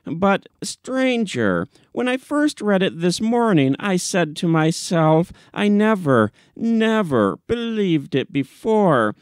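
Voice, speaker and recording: A man voicing an agitated, crazed male character with an urgent, excitable manner in a storybook reading. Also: high voice, slow, hardly any pauses, clear, resonant tone, dry recording, studio quality, pitched above the performer's own voice, heavily acted. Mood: excited, agitated.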